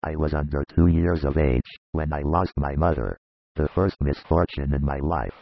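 A super low raspy sorry disciple, brooding, muffled audio. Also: male voice, normal speed, dry recording, full character voice. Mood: mournful. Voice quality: very deep gravelly husky voice. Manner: heavy, confessional, brooding. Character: grieving remorseful male disciple, adult. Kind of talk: audiobook reading of a religious narrative.

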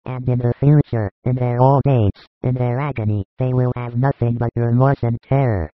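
A man playing a gentle, grave religious teacher in a scripted audiobook drama, speaking in a measured, consoling way. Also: mid-range voice, steady tone, no room sound, lightly acted. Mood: grave, earnest. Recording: dry, narrow phone-like sound.

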